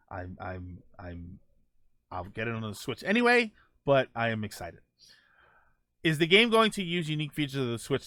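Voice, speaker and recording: A man's voice: medium pitch, fairly bright tone, no echo. A man hosting a video game livestream, casually reading out news notes and dropping in informal asides.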